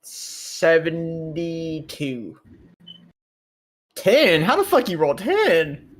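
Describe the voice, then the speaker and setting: A man's voice: fairly high pitch, very animated, drawn-out words. A man playing a tabletop roleplay, chatting casually in a slangy, sweary way. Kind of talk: casual chat during a game.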